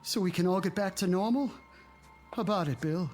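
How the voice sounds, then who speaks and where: An actor playing a nervous, overeager suburban neighbor in a radio drama, halting and anxious to smooth things over. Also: male voice, sits high, a little mellow, faint music behind, close to an everyday voice. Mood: nervous eagerness. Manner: halting, eager.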